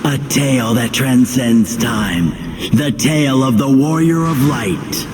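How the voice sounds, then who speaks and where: An actor playing a grandiose herald who narrates heroic tales in a measured, theatrical manner for a game. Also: male voice, medium pitch, slight background noise, lightly acted. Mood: solemn proclaiming. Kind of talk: dramatic scripted narration. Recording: loud music behind.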